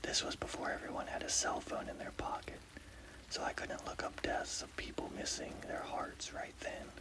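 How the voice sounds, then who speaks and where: A man narrating a scary story as whispered ASMR, in a hushed, deliberate, breathy voice with a gravelly creak. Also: poor audio.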